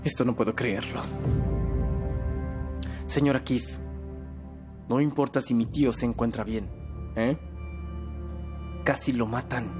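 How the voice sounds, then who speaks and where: Un actor de doblaje que interpreta a un joven serio y desafiante, reservado, directo e indignado. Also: male voice, mid-range voice, steady tone, measured pace, long pauses, music in the background, natural voice. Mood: indignant disbelief. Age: young adult. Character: earnest, defiant young man, guarded and indignant. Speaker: dubbing actor in a TV drama. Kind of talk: dubbed television drama dialogue.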